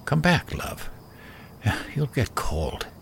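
A man playing a gentle, bereaved elderly husband and father in a horror audio drama, hesitant and pleading. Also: mid-range voice, wildly swooping pitch, slow pace, gravelly, a little hiss or hum, exaggerated voice. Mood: tender, weary.

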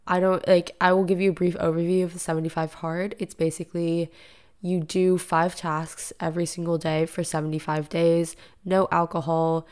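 A young woman talks through her own solo podcast from her living room, chatty and full of fillers. Her pitch sits on the low side, and her voice is raspy and a touch deeper from just having woken up. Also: darkish tone. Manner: chatty, rambling, filler-heavy. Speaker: podcast host. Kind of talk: casual solo podcast monologue.

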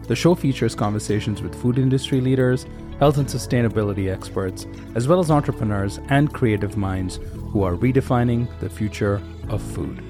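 A man hosting a food podcast, interviewing a guest in a measured, friendly way. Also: mid-range voice, over music. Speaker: podcast host. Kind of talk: scripted intro, then interview chat.

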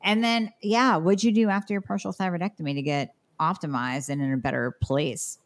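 A woman's voice: on the low side, steady tone, brisk. A woman hosting a casual health interview, chatty and enthusiastic, opening with the guest's bio. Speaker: podcast host.

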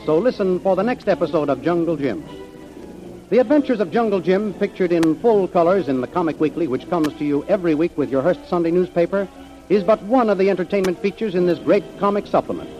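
A male announcer from 1930s radio with a high voice and dramatic, declamatory delivery. He narrates like a serial host reading from a script. The old recording is muffled, with music behind him. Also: a little mellow.

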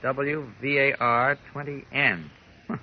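An actor playing an earnest, determined investigator in old radio drama style, thoughtful and deliberate. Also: male voice, medium pitch. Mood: earnest, puzzled. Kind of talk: 1940s radio acting. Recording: muffled old radio recording.

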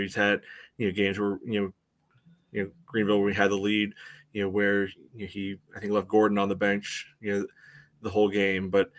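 A man's voice: fairly low pitch, fairly bright tone. A man giving casual sports analysis as a podcast co-host, conversational and peppered with "you know".

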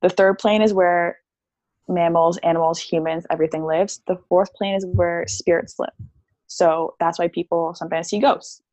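A woman's voice: fairly low pitch, fairly even intonation, normal speed, a little mellow. A young woman talking as a podcast guest about her healing practice, chatty and casual, with many fillers. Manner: chatty, explains with lots of "like" and "um".

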